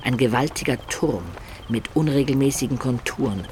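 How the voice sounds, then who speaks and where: Measured storyteller played by a man, faint noise. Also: medium pitch, a little mellow, natural voice. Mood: measured storytelling. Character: loyal, observant male companion recounting a medieval campaign. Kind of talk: literary radio drama narration.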